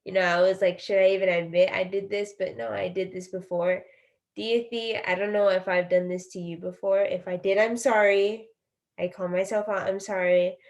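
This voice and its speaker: A woman's voice: medium pitch, fairly even intonation, normal speed, lo-fi sound. A woman sharing personal thoughts on a panel in a casual, self-effacing way, leaning often on the word "like". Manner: informal, self-effacing, frequent "like". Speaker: panel participant.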